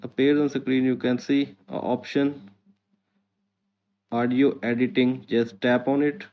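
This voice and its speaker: A man's voice: medium pitch, flat delivery, a little slow. A man hosting a tech tutorial, reading out scripted step-by-step instructions. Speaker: host of a tech tutorial channel. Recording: muffled, dull lo-fi audio.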